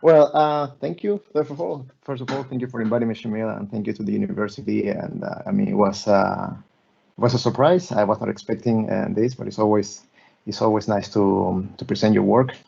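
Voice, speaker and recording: A man in his thirties presents his work over a video call, speaking haltingly, often restarting and repeating words. His low voice is heavily gravelly and husky, with a dark, mellow tone. The call audio sounds dull and muffled. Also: fairly even intonation. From Peru. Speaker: guest architect lecturer. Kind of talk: online lecture presenting slides.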